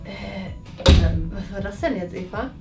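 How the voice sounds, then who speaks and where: A woman's voice: medium pitch, measured pace, loud music behind the voice. A young adult woman in casual everyday conversation, picked up by a camera across a room indoors. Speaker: colleague and target of hidden-camera pranks. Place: indoor office and studio kitchen. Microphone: hidden camera across the room.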